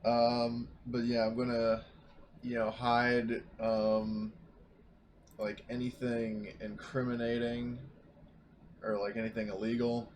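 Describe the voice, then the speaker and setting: A man's voice: mid-range voice, monotone, takes it slowly, a little mellow. A man playing a tabletop game over voice chat, talking casually in hesitant pieces that often trail off. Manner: hesitant, piecemeal delivery with many trailing phrases. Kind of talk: casual chat during online roleplaying game. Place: online voice call. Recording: noisy online voice chat.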